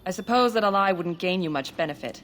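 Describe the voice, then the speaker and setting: An actress playing a wary, stern elven forest sentry in a fantasy video game, speaking curtly and formally. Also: female voice, on the low side, lively intonation, quick pace, fairly bright tone. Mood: suspicious. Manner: curt, guarded, formal.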